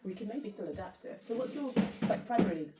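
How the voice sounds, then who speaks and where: A woman's voice: mid-range voice, animated, a little fast, recorded from a distance. Woman voice coach, heard from off-mic. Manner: chatty, rambling, self-deprecating. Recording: echoey room, off-mic, loud music.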